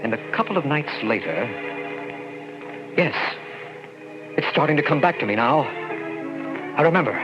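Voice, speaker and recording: An actor playing an earnest young army lieutenant and scientist who recounts events dramatically in an old-time radio drama. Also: male voice, on the high side, expressive, normal speed, pauses often, close to an everyday voice. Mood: haunted, suspenseful. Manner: earnest, dramatic, suspenseful storytelling. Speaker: narrator and lead character. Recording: muffled vintage radio recording, loud music.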